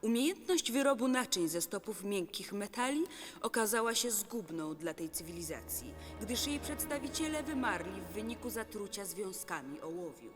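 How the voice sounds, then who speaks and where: A woman's voice: medium pitch, animated, moderate pace, close to an everyday voice. An actress playing a composed, matter-of-fact woman in a dubbed cartoon. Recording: reverberant hall with faint music.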